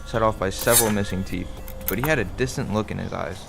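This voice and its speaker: A middle-aged man playing a wry, measured father who narrates a strange roadside tale in a radio play. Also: lowish voice, vocal fry, heavy background noise. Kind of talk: scripted first-person radio play narration. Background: music.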